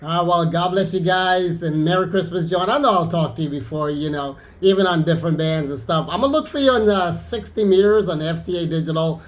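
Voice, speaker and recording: A man with a notably high-pitched voice talks casually over amateur radio. His manner is friendly and informal, like someone rag-chewing with a fellow operator and handing over at the end of each turn. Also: brisk, hardly any pauses. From Anguilla, Caribbean.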